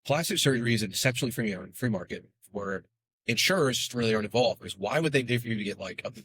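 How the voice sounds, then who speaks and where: A man speaking as a guest in a casual podcast interview, explaining in a rapid, animated way with quick asides. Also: mid-range voice.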